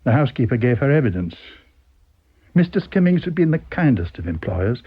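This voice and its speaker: A man's voice: mid-range voice, very animated, brisk, breathy edge, dull audio. A man with a British accent reading a scripted story as a dramatic narrator. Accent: British English. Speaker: audiobook reader.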